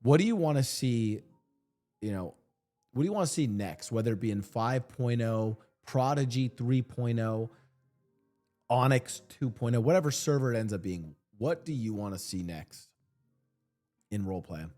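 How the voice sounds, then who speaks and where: A man in his thirties hosting a podcast, joking and rambling with a guest and quick to laugh. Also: mid-range voice, expressive, dark tone, soft background music. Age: 30s. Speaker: podcast host. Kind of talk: casual podcast chat.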